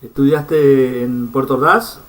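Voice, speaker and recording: A man's voice: mid-range voice, steady tone, slow, distant mic. A man hosting an interview, friendly and hesitant, filling with "eh" and "ujú". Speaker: interview host.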